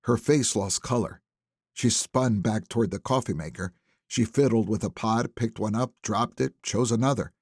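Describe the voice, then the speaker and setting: A man narrating a first-person thriller audiobook in a wry, conversational, expressive manner. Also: fairly low pitch, normal speed, husky, no room sound, studio quality.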